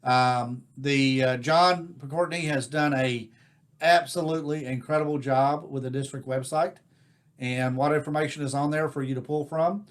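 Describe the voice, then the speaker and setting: An American man speaking informally as host of a virtual club meeting, giving announcements in a halting, rambling, folksy way. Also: medium pitch, steady tone. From United States. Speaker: Rotary district governor hosting online club meeting. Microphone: video call.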